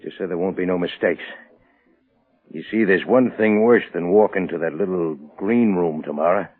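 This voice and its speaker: A bitter, stubborn American convict in a 1940s radio crime drama. He talks in a slangy, suspicious tough-guy manner, with a husky, lowish voice. The old recording is thin and tinny. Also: normal speed. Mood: bitter, wary.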